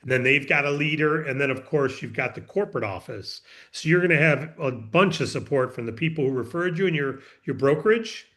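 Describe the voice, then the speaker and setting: A man's voice: a little high, animated, fairly quick, few pauses, a bit bright. Friendly man explaining business details. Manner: friendly, explanatory, talks in long runs. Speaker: company presenter answering broker questions. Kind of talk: business Q&A on a video call.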